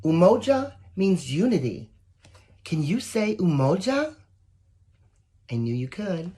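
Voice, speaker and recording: Storybook reader, very animated intonation, expressive, talks directly to the kids between lines. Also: mid-range voice, slow. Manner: expressive, addresses young listeners directly. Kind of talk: children's picture book read-aloud with asides.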